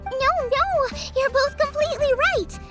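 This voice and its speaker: Casting: perky teen girl chef in a game, girlish and very high, music clearly behind. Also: a little slow. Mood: earnest. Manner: perky, earnest. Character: eager, bubbly teenage girl chef. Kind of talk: video game cutscene acting. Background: playful music.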